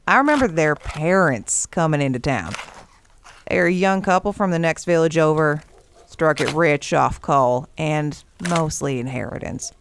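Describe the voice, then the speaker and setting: A woman's voice: sits low, animated, measured pace, slight background noise. An actress playing an old female camp warden in an audio drama, with a wry, folksy, teasing way of talking. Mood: folksy, reminiscing. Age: older.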